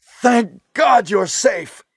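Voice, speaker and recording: An earnest young action hero in a 1990s horror game. His voice is husky and dry and swings widely in pitch, with stilted, melodramatic delivery. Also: animated, very slow, fairly bright tone, no echo, full character voice. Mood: commanding.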